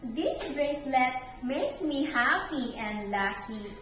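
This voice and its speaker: A woman voicing a cheerful young storybook girl who pleads and exclaims in drawn-out phrases. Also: high-pitched, lively intonation, fairly bright tone, heavily acted. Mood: happy. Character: cheerful young girl, sad and pleading over her treasured bracelet. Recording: echoey room, distant mic, music behind.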